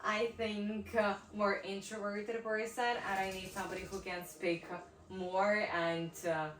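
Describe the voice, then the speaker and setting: A young woman with a Ukrainian accent, chatting casually and thoughtfully, pausing with many fillers. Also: medium pitch, flat delivery, roomy sound, far from the mic, slight background noise. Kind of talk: casual chat.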